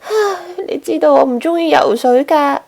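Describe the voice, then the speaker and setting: A woman's voice: sits high, moderate pace, gravelly, a little mellow, voice pushed up. A woman voicing a timid, worried young penguin in a children's storybook, fretful and plaintive. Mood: reluctant.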